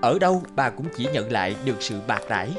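A man tells a folk tale as a narrator. His delivery is dramatic and highly animated in pitch, with music playing clearly behind him. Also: fast talker. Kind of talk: storybook narration.

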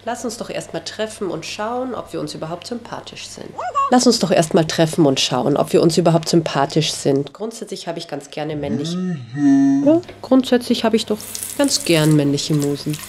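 A woman's voice: low voice, animated, moderate pace, darkish tone. A woman playing a cautious, flirting artist and mother who reads chat messages in a radio play. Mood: cautious, flirtatious.

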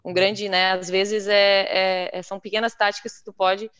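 A woman's voice: medium pitch, flat delivery, moderate pace, a bit bright, clean tone. A young woman, a guest on a live video call, explaining with many fillers and repeated words. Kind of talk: live webinar conversation. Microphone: headset on video call. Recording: dull dry video-call audio.

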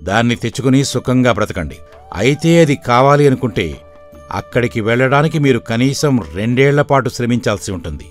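A man playing a weary, worried but shrewd middle-aged village father in an animated moral story, speaking plainly and earnestly. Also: mid-range voice, a little fast, close to an everyday voice. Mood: measured, persuasive. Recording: background music throughout.

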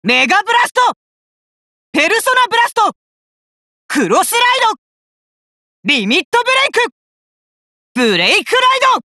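An actor voicing a cocky, hot-blooded young male fighter in an anime card game, rough-talking and arrogant, with emphatic battle cries. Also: extremely high pitch, sing-song, normal speed, pauses often, sharp tone, no echo, studio quality, exaggerated voice. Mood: commanding, intense.

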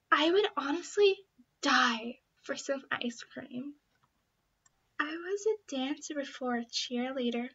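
High, bright woman acting audition lines. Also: dull audio. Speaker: amateur voice actor auditioning. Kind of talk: acted audition lines in various characters.

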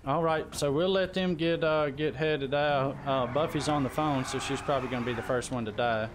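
A man's voice: mid-range voice, steady tone, moderate pace. A man chatting casually as he organizes his team during a live game stream. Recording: background game noise on voice chat.

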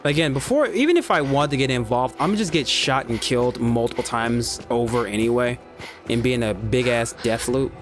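A man's voice: mid-range voice, animated, brisk, music in the background. A man streaming a video game, chatting casually and swearing freely as he plays. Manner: laid-back, swears freely. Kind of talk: casual gameplay commentary.

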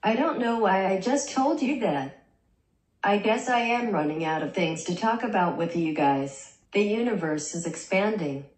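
A synthetic woman's voice reading a young vlogger's lines in a deadpan way, heard in a small bedroom through the camera mic. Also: medium pitch, moderate pace, echoey room, poor audio. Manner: deadpan, dry jokes. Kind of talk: text-to-speech vlog narration.

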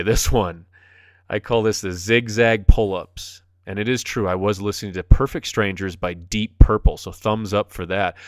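Upbeat low-voiced male fitness coach. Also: smooth, no echo, studio quality. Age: 40s. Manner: upbeat, jokey, enthusiastic coaching. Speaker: fitness instructor. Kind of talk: voice-over commentary on a workout video.

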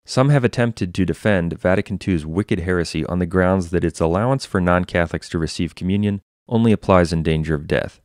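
Man, low voice, scripted polemical narration, formal, emphatic, declarative, dry close-mic sound. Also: brisk, few pauses, darkish tone, no echo. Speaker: religious commentator narrating.

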